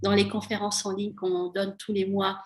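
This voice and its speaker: A woman's voice: mid-range voice, level tone, normal speed. A woman interviewing in a casual, conversational way, affirming and empathetic, with emphatic repetition. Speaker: host, coach interviewing a former client.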